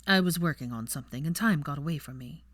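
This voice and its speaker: A woman voicing a proud, guarded young man, a wizard in an audiobook, in a measured, formal manner. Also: sits low, no echo, studio quality. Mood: dry. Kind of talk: audiobook character voice.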